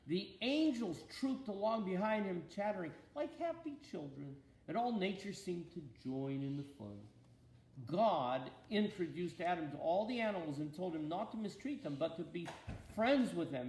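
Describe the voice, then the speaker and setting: A man reads a storybook aloud with a gravelly edge and very animated intonation. He paces it with deliberate pauses and slips in gentle asides to his listener. The room rings around him, with a faint layer of noise. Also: fairly high pitch. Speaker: bishop reading aloud. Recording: roomy echo, faint background noise.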